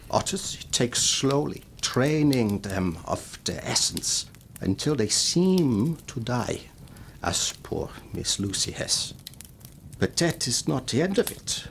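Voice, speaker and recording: Learned Dutch professor, horror audio drama. Swooping intonation, solemn and deliberate, odd phrasing. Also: male voice, medium pitch, vocal fry, fairly bright tone, slight background noise, full character voice. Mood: grave, ominous. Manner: deliberate, cryptic, slightly unidiomatic phrasing. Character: learned, grave foreign professor who hunts vampires.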